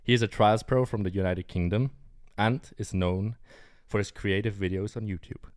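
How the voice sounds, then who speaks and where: A man's voice: lowish voice, fairly even intonation, moderate pace, a little mellow, no echo, clean studio sound. A young man hosting a casual podcast interview, speaking eagerly and a little nervously. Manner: eager, nervous, laughs often. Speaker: podcast host.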